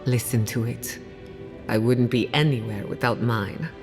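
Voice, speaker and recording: A woman playing a confident, dryly witty scholar in a video game, measured and guarded. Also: rumbling low, darkish tone. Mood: reflective, wry. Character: driven, wry female scholar, guarded about family loss. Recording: music playing behind the voice.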